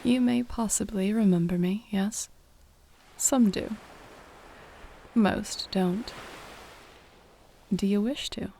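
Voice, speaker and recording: Serene female mermaid played by a woman for sleep audio: mellow, soft-spoken, gently formal, unhurried soothing delivery. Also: mid-range voice, vocal fry. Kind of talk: sleep-aid audio roleplay, soothing bedtime monologue. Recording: faint ambient ocean noise behind.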